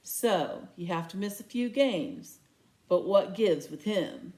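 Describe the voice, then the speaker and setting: A woman's voice: medium pitch, animated, slow, a little mellow. A woman voicing a suspicious, challenging teenage boy teammate in a storybook reading.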